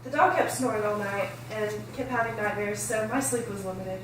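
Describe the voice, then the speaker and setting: A woman's voice: medium pitch, normal speed, close to an everyday voice. Woman as a friendly mom, very echoey recording. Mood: friendly, everyday. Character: friendly, caring mother of a teenager. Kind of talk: amateur table read of a drama script. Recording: roomy, distant, faint noise.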